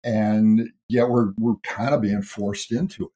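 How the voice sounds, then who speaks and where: A man's voice: lowish voice, fairly even intonation, a little slow, mellow. A middle-aged man from the United States, a writer and health educator being interviewed on a podcast, explaining his ideas in a thoughtful way and often repeating words as he goes.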